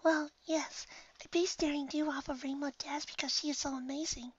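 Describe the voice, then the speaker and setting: Timid, gentle cartoon mare, acted by a story reader: high, hushed, breathy and hesitant. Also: steady tone, normal speed, dry recording, highs cut off, close to an everyday voice. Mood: shy. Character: timid, soft, gentle female pegasus storyteller.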